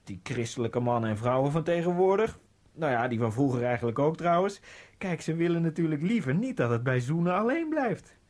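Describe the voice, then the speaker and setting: A fawning, rambling immigrant. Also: male voice, on the high side, big pitch swings, brisk, a little mellow. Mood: leering, rambling. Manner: rambling, obsequious, slides into bitter ranting. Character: nervous, fawning Egyptian immigrant man, adult. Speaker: radio drama lead. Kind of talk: radio play acting with inner monologue.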